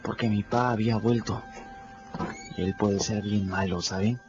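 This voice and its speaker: A man's voice: lowish voice, fairly even intonation, normal speed, lightly acted. An actor playing a scrappy, candid boy who narrates his adventures in a radio drama, confiding in the listener. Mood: candid storytelling. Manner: conversational, confiding, addresses listeners directly. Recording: dull lo-fi radio drama with music.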